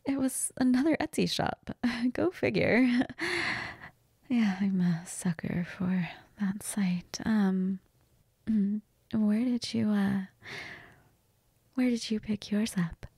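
Woman playing a breathy, flirtatious vampire. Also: medium pitch, no echo, studio quality. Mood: flirty. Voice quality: breathy, half-whispered.

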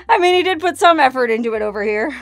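A woman's voice: high-pitched, expressive, a little fast. A woman, chatting playfully to a handheld camera as she explores a cave cabin outdoors, laughing and spinning silly stories. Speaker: solo outdoor adventure vlogger. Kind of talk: casual vlog narration while exploring. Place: outdoors, inside a rock cave and cabin in a canyon. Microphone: handheld camera at arm's length.

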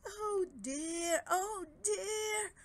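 A woman voicing a meek, miserable male dragon in a storybook, moaning and pleading in drawn-out wails. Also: very high voice, voice pushed up, full character voice. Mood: groaning dread.

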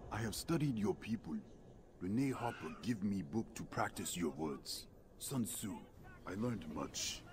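Low grave alien warrior, stilted english, game voice, noisy background. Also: male voice, animated, a little slow, darkish tone. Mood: stern, measured. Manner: stilted, formal, terse English. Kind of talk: video game dialogue acting.

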